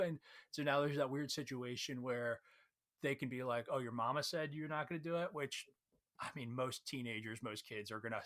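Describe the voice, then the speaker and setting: A man giving a casual, conversational recap of a story as a read-along host, retelling it in an animated way. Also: mid-range voice.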